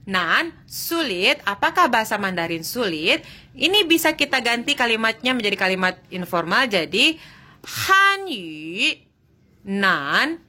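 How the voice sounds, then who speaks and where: A woman teaching Mandarin, speaking slowly and clearly. Her bright, fairly high voice moves a lot in pitch as she reads each example phrase and then explains it. She corrects herself now and then and checks that her listeners follow. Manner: self-correcting, checks understanding with "jelas?". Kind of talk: slow language lesson, reading and translating example sentences.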